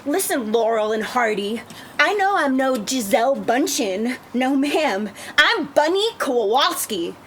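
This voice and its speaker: Woman playing a high, sassy, indignant brash customer. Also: sing-song, a little slow, a little hiss or hum, full character voice. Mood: defiant. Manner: emphatic, theatrical, sharp-tongued. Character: brash, sassy, plus-sized female bridal customer, indignant and proud. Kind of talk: screenplay table read.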